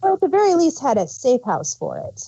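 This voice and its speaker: Mellow woman, dull dry recording. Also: high-pitched, wildly swooping pitch, a little fast, no room sound. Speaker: podcast co-host reviewing audio dramas. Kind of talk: casual discussion.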